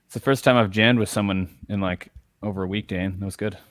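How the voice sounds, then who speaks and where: A man with a lowish voice talks in a laid-back, joking way and chuckles often. His voice sounds dry and close, with no room echo. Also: fairly even intonation, fairly quick, fairly bright tone. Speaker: bandmate guest. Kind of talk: casual chat.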